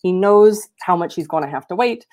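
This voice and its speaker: Woman, slightly low voice, researcher explaining slides, seminar presentation on a video call. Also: moderate pace, a little mellow.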